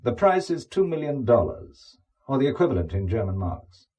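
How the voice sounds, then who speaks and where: Reluctant amateur spy, English gentleman played by a male actor: understated, wry, varied intonation, audio drama. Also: medium pitch, sing-song, moderate pace, muffled recording. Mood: cool, businesslike.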